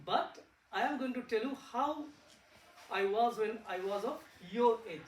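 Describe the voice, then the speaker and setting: A man's voice: very high voice, unhurried, slight background noise. Indian-accented male researcher, friendly classroom talk, echoey room, far mic. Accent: Indian English. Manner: simple words, asks the kids questions, repeats words for emphasis. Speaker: physics researcher giving a talk to children.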